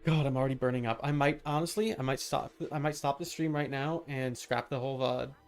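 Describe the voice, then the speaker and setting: A man's voice: mid-range voice, fairly even intonation, a little fast, faint music behind. A man doing casual live game-stream commentary, muttering to himself and repeating his phrases. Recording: noticeable background noise.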